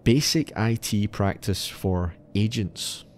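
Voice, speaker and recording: A man's voice: fairly low pitch, slow, a little mellow, slight background noise. A man hosting a podcast, narrating in an explanatory, slightly wry way that moves between scripted and casual. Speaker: podcast host.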